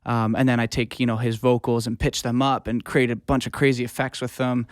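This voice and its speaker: A man's voice: mid-range voice, level tone, brisk, no echo, clean studio sound. A man giving casual, rambling explanations as a music producer guest in an interview.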